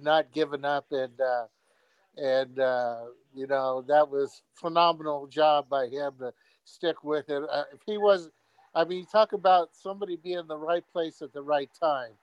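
A male caller stumbles along, repeating words and leaning on fillers. His voice is smooth and sits a little high. The audio is dry and somewhat dull. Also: no echo. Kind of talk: phone-in chat.

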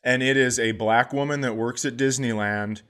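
A man hosting a podcast, telling casual comic stories in a profane, animated way. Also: medium pitch, normal speed, clear, resonant tone. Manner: profane, animated anecdotes with acted-out quotes. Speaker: podcast host, comedian.

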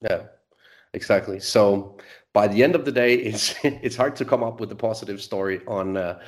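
A man's voice: lowish voice, normal speed. A man from Denmark, a market strategist, speaking with many hesitations and chuckling asides. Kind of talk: conversational market discussion.

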